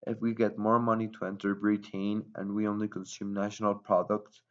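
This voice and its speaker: A man's voice: on the low side, completely flat delivery, brisk. A man reading a formal, wordy scripted news report as a newsreel reporter. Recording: dull lo-fi voice recording.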